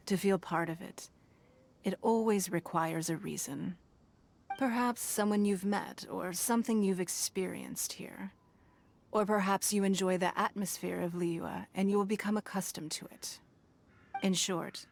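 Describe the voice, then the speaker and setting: A woman playing a poised, shrewd and wealthy lady leader in a video game, speaking formally with measured authority. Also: mid-range voice, creaky. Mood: advising.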